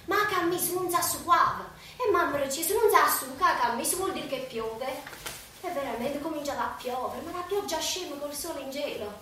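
A woman's voice: sits high, fairly quick, sharp tone. An actress playing a raving, grieving mother in a theatrical monologue, with a southern Italian accent and repetitive, incantatory delivery. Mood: wistful remembering. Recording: echoey stage, distant mic, faint noise.

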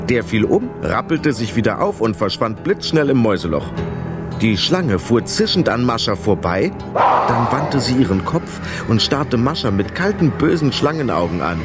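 A man narrating a children's radio play in an expressive, dramatic storytelling style. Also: mid-range voice, moderate pace, loud music behind the voice.

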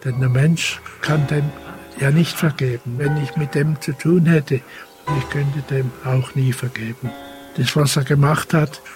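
A man's voice: medium pitch, fairly even intonation, a little slow, music in the background. A man making emphatic statements in an interview.

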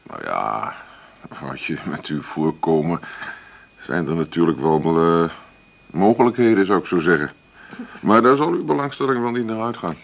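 A middle-aged café boss in a radio play. His voice is very low and gravelly. He talks bluntly and dismissively, rambling on with condescension toward whoever wants a job. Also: lively intonation, normal speed, heavily acted. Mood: insinuating. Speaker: employer interviewing an applicant. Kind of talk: naturalistic radio drama acting.

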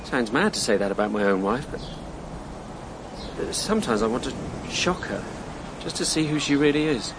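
An actor plays a provocative, cynical married man in a radio drama. His delivery is sly and very animated in pitch, with distinct background noise. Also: normal speed, close to an everyday voice. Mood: sly, musing.

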